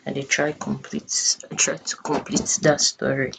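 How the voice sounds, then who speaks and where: Nigerian accent, gravelly fry, unhurried casual chat, informal Pidgin touches, noisy phone recording. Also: medium pitch, monotone. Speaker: storytelling channel creator.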